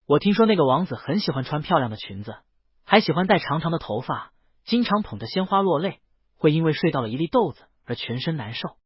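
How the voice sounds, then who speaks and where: A man voicing a shy, well-behaved young male dragon in an audio story, soft, hesitant and sincere, with a husky voice. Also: fairly high pitch, big pitch swings, brisk, no room sound. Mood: earnest. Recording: dull, lo-fi dry voice track.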